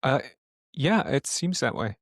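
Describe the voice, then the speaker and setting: A man's voice: medium pitch, sing-song, no room sound, clean studio sound. Casual man running a tabletop game. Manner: halting, profane. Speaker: game master. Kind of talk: improvised tabletop game narration and casual chat.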